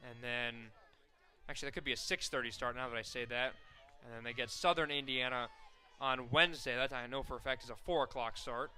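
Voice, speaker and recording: A young man calling softball play-by-play on the radio, steady and full of stats, rising sharply on big plays. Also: mid-range voice, fairly quick, some chatter behind. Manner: steady, fact-filled call that rises sharply on big plays. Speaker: play-by-play announcer.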